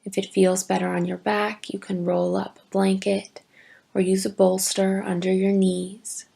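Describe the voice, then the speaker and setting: A woman teaching yoga nidra, giving gentle, deliberate guided meditation instructions with long pauses. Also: medium pitch, little pitch movement, vocal fry, husky. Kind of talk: slow guided meditation narration.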